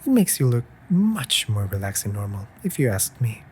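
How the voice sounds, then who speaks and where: Raven familiar, acted by a performer: playful, mock-offended tease, animated intonation, affectionate, comfort roleplay, slight background noise. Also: medium pitch, normal speed, natural voice. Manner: teasing, mock-offended, breaking into soft murmurs. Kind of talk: intimate comfort audio roleplay.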